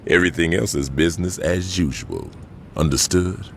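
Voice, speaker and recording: An actor playing a stern middle-aged American crime boss in a video game cutscene, blunt and authoritative, with a gravelly voice. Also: male voice, low-pitched, animated, normal speed, fairly bright tone, slight background noise, exaggerated voice. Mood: cold authority. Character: commanding middle-aged male gang kingpin, stern and short-tempered.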